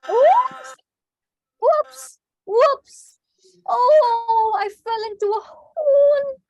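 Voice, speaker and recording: A woman's voice: very high-pitched, expressive, drawn-out words, darkish tone, highs cut off, faint music behind, full character voice. Woman doing a wide-eyed little girl. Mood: scared. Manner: breathy, exclaiming wonder, whispering at times. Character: cheerful little girl on a forest walk. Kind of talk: improvised storytelling acting.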